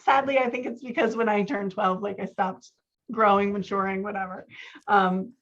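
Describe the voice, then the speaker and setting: A woman talking casually as a writing coach, giving feedback and acting out bits of dialogue in an animated, conversational way. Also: medium pitch, normal speed. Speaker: book coach giving feedback on a writer's scenes. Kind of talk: casual coaching talk mixed with reading out scene dialogue. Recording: slightly dull, lo-fi audio.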